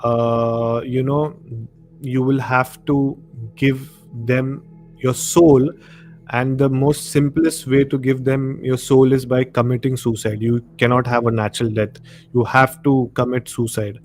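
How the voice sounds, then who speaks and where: A man's voice: mid-range voice, level tone, a little slow, darkish tone, faint music behind. A man with an Indian accent hosting a casual live discussion, explaining things in a conversational way and often saying "you know". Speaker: live show host. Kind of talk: casual discussion of horror and conspiracy. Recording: noisy live stream audio.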